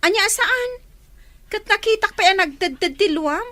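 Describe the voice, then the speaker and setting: A woman acting as a blunt, nosy big sister in an audio drama. Her voice is high and swoops in lively scolding tones. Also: moderate pace, full character voice. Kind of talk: Ilocano radio-style drama acting.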